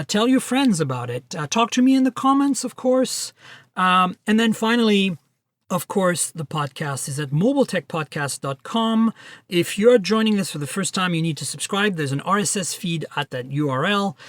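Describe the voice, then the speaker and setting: A man's voice: high voice, expressive, normal speed, no room sound. A man hosting a tech podcast, talking in a chatty, upbeat way and running through plugs. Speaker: podcast host. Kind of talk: casual tech podcast chat.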